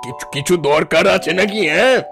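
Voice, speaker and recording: A gravelly, slightly high-pitched cartoon lion king, grand and patronizing as he lords it over the forest. Soft music plays behind him. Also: animated, moderate pace. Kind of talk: cartoon voice acting. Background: background music.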